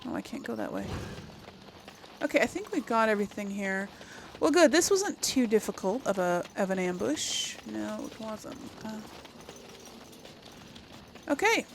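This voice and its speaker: A woman's voice: medium pitch, very animated, a little slow, long pauses. A woman giving casual gameplay commentary, thinking aloud to viewers in short reactive remarks. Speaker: gaming video host. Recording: clear game noise behind voice. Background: game sound effects and ambience.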